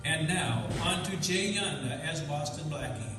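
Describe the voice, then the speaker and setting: A man's voice: fairly high pitch, animated, a little slow. A man as echoey radio serial announcer over music. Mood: dramatic, announcing. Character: dramatic male announcer of a radio mystery serial. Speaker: announcer and narrator. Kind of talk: old-time radio scripted narration. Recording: echoey hall, distant mic, loud music. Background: loud music.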